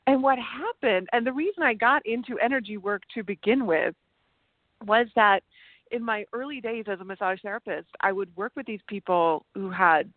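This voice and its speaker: A woman born in Germany, whose first language is German, speaks fluent unaccented English as a guest on a talk show. She tells her stories with lively energy and restarts her sentences often. Her voice sits at a medium pitch and comes through a narrow, tinny line that sounds dry and close, like a video call. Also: normal speed, no echo. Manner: animated storytelling, laughs often, restarts sentences. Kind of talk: casual interview chat.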